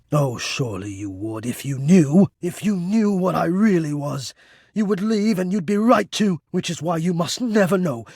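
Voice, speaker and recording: Breathy, lovesick, melodramatic shadow spirit. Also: male voice, mid-range voice, no room sound, clean studio sound. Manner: intense, theatrical, hesitant formal phrasing. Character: lovesick, anxious, melodramatic male air-vent spirit hiding in shadow. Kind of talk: dramatic romantic video game voice acting.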